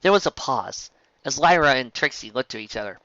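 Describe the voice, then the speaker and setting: An audiobook narrator reads scripted fiction with very animated pitch and a bright tone. The recording is dry and a little dull. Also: a little fast, no echo.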